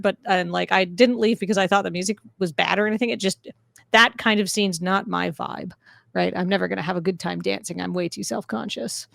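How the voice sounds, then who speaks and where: A woman's voice: medium pitch, creaky, no echo. A woman co-hosting a podcast, chatting casually with lots of "like" fillers and self-deprecating asides. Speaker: podcast co-host. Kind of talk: casual recap chat.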